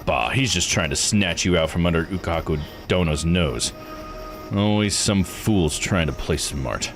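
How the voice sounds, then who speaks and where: A man voicing a gruff, folksy old island boss in a visual novel, blunt and dismissive. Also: low-pitched, expressive, a little slow, soft background music. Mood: gruff, scornful. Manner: blunt, slangy, domineering. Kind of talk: visual novel read-aloud voice acting.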